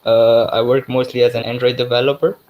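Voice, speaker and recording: Young man from Mozambique, native Portuguese, mid-pitched voice, loose online tech talk full of fillers. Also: fairly quick, muffled recording, a little hiss or hum. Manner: relaxed, many fillers and restarts. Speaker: guest speaker, developer expert.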